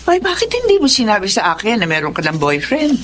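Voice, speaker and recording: A woman's voice: mid-range voice, brisk, slight background noise. A woman with a Filipino accent acting a doting, easily shocked middle-aged mother in a radio drama. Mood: shocked, scolding. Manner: expressive, motherly, exclaiming.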